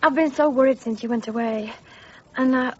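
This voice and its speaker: A soft, tender young beauty, high voice, unhurried, lo-fi radio drama with faint music. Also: female voice, breathy edge. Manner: soft, slow, heartfelt. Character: proud young village beauty, now remorseful.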